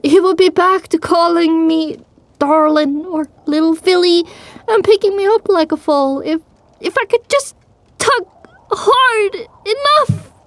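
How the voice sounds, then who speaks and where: Woman playing high-voiced grieving young pony mare. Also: dry recording. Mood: broken, sorrowful. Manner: emotional, halting, drawn-out delivery. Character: grieving, determined young female pony recounting her tale. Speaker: narrator. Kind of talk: first-person dramatic audiobook narration.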